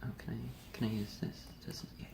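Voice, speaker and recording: A man's voice: mid-range voice, steady tone, brisk, creaky, whispered. A man co-hosting a casual reading of fan fiction, chatty and given to playful asides. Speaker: co-host and amateur fiction writer. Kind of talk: casual commentary and informal story reading. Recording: faint background noise, room ambience.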